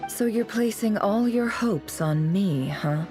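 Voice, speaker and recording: An actress playing a shrewd, cool information broker in a game, sly and deliberate. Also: female voice, medium pitch, lively intonation. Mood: wry. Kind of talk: game voice acting. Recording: game music under the voice.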